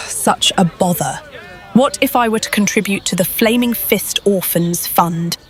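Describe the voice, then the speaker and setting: A woman's voice: mid-range voice, measured pace, soft background music, some chatter behind, close to an everyday voice. A woman playing an earnest adventurer in a voiced fantasy game story. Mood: curious, assertive. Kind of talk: video game fan-film character acting.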